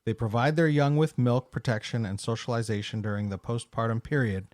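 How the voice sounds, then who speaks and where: A man's voice: mid-range voice, brisk, darkish tone, no echo. Guy on a podcast reading out animal trivia, dry mic, average voice. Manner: explains facts, reads out trivia. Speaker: podcast co-host. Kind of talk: casual chat.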